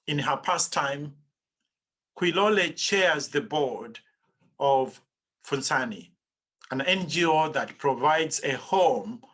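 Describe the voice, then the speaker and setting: Slow, measured male interview host. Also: mid-range voice, pushed and bright. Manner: slow, measured delivery with occasional hesitations. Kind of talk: formal interview introduction.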